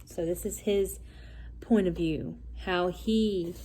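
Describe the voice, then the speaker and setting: A woman's voice: fairly low pitch, steady tone, slow pace, noisy background. A woman teacher doing a children's picture-book read-aloud, talking directly to young pupils with casual, simple asides. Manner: addresses young pupils directly, explaining simply as the story unfolds.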